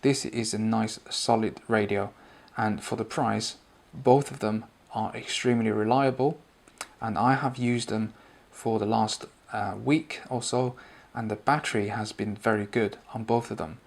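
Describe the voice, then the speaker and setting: A man's voice: fairly low pitch, fairly even intonation, unhurried, gravelly. A man giving a conversational, explanatory spoken product review and demonstration.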